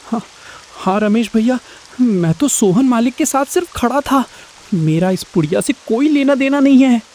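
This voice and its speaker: An actor playing a guilty, cowardly male servant in a cartoon story, husky, anxious and protesting. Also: very high voice, lively intonation, normal speed, a little mellow. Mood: nervous denial. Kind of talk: dramatic Hindi moral-story cartoon acting.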